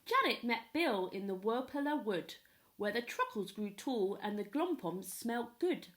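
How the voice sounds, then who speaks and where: Slow, higher-voiced woman reading to children. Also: big pitch swings. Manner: pauses to ask young listeners questions. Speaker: storyteller at a primary school. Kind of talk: expressive children's story reading.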